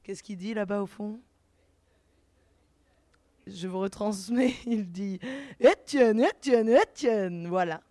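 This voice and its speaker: A woman's voice: medium pitch, takes it slowly, pauses often, darkish tone, no room sound. Radio host, a woman, very dry sound. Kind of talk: live festival radio presenting.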